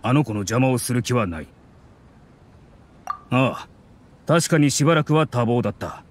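A man's voice: mid-range voice, animated, slight background noise, lightly acted. Game role, male actor: middle-aged academic dad, mid-range voice, stern, formal and guarded. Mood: reserved, matter-of-fact. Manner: stiff, measured, restrained. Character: stern, reserved middle-aged scholar father, proud, formal. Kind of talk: Japanese anime game dub.

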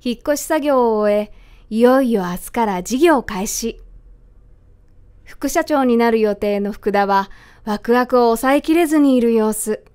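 A woman's voice: a little high, unhurried, warm tone, clear, resonant tone. A woman reading scripted narration for a radio drama.

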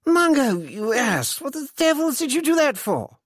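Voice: medium pitch, wildly swooping pitch, normal speed, slightly rough, dry recording, studio quality, pitched above the performer's own voice. A reader voicing a tipsy, flippant upper-class young English man with a plummy, exclamatory delivery. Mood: indignant.

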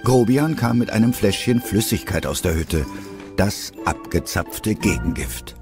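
Low-voiced male storyteller over music. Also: lively intonation, unhurried. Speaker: narrator. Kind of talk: scripted children's story narration.

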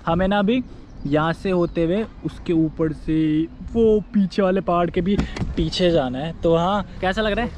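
High-voiced excited young man vlogging outdoors. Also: moderate pace. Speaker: travel vlogger. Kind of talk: excited travel vlog chat. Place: outdoors on mountain roads and at a waterfall. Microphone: action camera on a chest mount and handheld. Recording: loud wind and water noise from an outdoor action cam.

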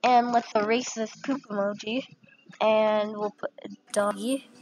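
A woman's voice: medium pitch, steady tone, a little slow, a little mellow, soft background music, low-quality recording. A young woman who is sick and sounds a little off, chatting casually with many fillers as she walks through a screen recording. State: sick, voice a bit off. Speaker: channel host.

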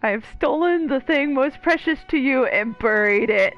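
Female streamer, casual commentary, fairly high pitch, dull mic, game music behind. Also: animated, normal speed. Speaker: gaming streamer. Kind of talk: casual game commentary, reading dialogue aloud.

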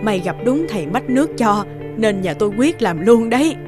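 A woman's voice: fairly high pitch, animated, normal speed, music in the background, natural voice. A woman voicing a hearty, insistent and grateful village housewife in a folk-tale cartoon. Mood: grateful, hospitable.

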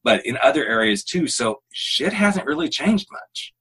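A man's voice: mid-range voice, very animated, unhurried, a bit bright, husky. A man in his fifties hosting a podcast, chatty and encouraging with his guest. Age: 50s. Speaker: podcast host. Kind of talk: casual interview chat.

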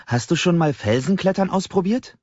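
Guy doing an excited young climber dude for an audiobook, high voice, bit husky, emphatic and chatty. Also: moderate pace, no echo, dull audio. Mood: eager. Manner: eager, emphatic. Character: enthusiastic young male climbing fanatic, earnest and chatty.

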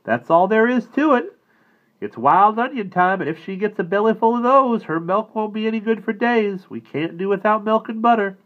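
A gruff, fed-up farmer father, as a storyteller puts him on. His voice is raised well above the narrator's usual pitch and pushed louder. The sound is narrow and phone-like. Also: animated, normal speed. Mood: exasperated. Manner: gruff, disgusted. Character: grumpy, frustrated farmer father.

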